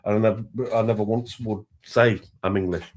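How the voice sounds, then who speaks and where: A man's voice: lowish voice, steady tone, normal speed, darkish tone. A man chatting as a guest on a live show, rambling and restarting his sentences. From Scotland. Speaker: call-in guest. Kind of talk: casual chat.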